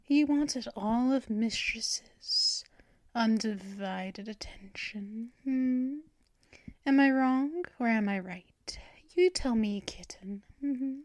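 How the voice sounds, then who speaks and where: A woman voicing a possessive female vampire mistress in an ASMR roleplay, talking in soft, teasing whispers up close to the mic. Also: fairly high pitch, no room sound. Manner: slow, drawn-out delivery.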